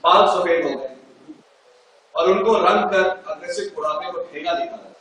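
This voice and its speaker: A man's voice: fairly high pitch, normal speed, fairly bright tone, a little hiss or hum. A man on stage reading out a nostalgic, joking letter to an old college friend in an auditorium. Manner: nostalgic, jokey letter-writing tone. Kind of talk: stage play monologue. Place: large auditorium. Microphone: unamplified stage, far from the recorder. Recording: echoey hall from far off.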